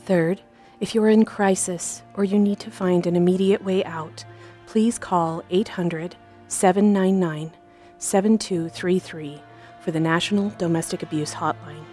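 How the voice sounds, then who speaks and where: Female host for a scripted support message, measured and earnest, warm dark tone, light music bed. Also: lowish voice, steady tone. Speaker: podcast host.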